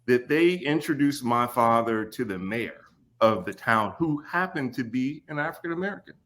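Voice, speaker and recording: A man in his forties from Kentucky, a podcast guest telling stories in a relaxed way, laughing often and pausing with fillers. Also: mid-range voice. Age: 40s. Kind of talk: casual storytelling conversation.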